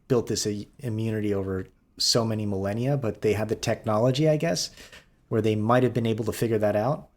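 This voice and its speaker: A man with a fairly low voice speaks as a podcast co-host. His delivery is casual and conversational, like an informal discussion. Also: fairly quick, a little mellow.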